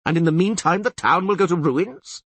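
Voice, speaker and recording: A man's voice: high voice, normal speed, a bit bright, breathy edge, no echo, voice pushed up, full character voice. A man voicing a smug, lecturing bald male priest in a fantasy audiobook. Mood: scolding. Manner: lecturing, know-it-all.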